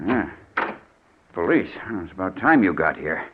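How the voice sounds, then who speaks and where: Paranoid, low-voiced American man, old radio. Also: big pitch swings, moderate pace. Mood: wary. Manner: agitated, stammering, distrustful. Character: frightened, suspicious, hard-tempered man expecting to be murdered. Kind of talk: 1940s radio thriller acting. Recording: noisy, narrow old 1940s radio recording.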